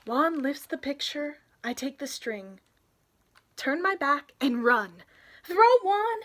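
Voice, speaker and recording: A young adult woman reading a picture book aloud expressively, in an animated way, for young listeners. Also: high voice, slow pace. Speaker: read-aloud storyteller, youth intern.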